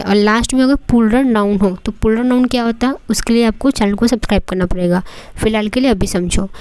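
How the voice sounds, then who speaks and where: A woman from India with an Indian accent, teaching English phrases in a lesson and repeating each example slowly and deliberately. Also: on the high side, darkish tone, dry recording. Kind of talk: Hindi-language English lesson with drilled phrases.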